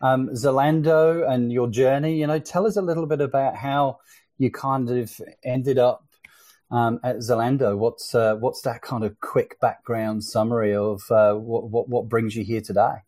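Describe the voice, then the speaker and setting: A man's voice: medium pitch, steady tone, moderate pace, a little mellow. A man hosting a business podcast, talking in a conversational way with frequent fillers and restarts. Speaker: podcast host.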